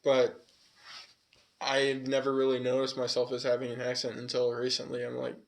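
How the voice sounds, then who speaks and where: A man's voice: medium pitch, completely flat delivery, normal speed, gravelly. A man from California with a slight Southwestern tinge, co-hosting a podcast in rambling casual chat with lots of fillers and swearing. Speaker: podcast co-host.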